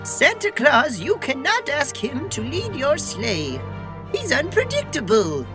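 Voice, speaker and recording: Santa's disapproving wife, female actor, motherly and prim, high animated voice, slow delivery, holiday comedy. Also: over music, full character voice. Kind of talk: comic audio drama.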